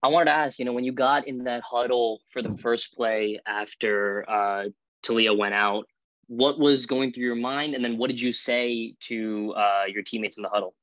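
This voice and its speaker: A man's voice: mid-range voice, fairly even intonation, moderate pace, a bit bright, dry recording. A man working as a sports reporter, asking a question over a video call with dull audio.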